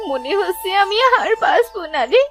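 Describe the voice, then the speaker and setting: Meek, sorrowful cartoon orphan child, very high, swooping, plaintive delivery. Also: female voice, animated, normal speed. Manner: plaintive, pleading. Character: sad, meek, mistreated orphan village girl. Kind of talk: melodramatic cartoon voice acting.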